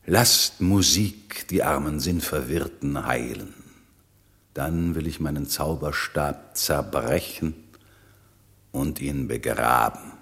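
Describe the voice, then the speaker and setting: A very deep, forceful sorcerer lord. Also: male voice, vocal fry, exaggerated voice. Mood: solemn, incantatory. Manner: solemn, measured, declamatory. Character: stern aging sorcerer lord, commanding yet turning to forgiveness. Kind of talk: classic German radio play acting.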